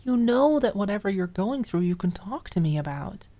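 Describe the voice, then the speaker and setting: Lady doing a pouty blunt young gal, pitched up, caring and pushy, audio drama. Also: expressive, normal speed, dark tone, lightly acted. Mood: soft, reassuring. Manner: casual, emphatic, insistent, uses filler "like". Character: blunt, caring, pouty young woman confronting her friend.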